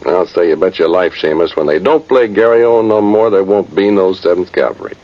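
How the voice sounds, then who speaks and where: Gravelly, folksy old cavalry soldier. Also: male voice, low-pitched, animated, a little fast. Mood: wistful, homesick. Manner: folksy, ungrammatical, rambling soldier talk. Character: folksy, rueful old-time cavalry sergeant, a ghostly soldier. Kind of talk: 1950s radio drama monologue. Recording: muffled, hissy vintage radio recording.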